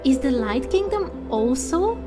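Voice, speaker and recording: A woman's voice: high-pitched, very animated, a little slow, over music. A woman giving casual let's play commentary, thinking aloud in a hesitant way. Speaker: gamer and fan voice actor.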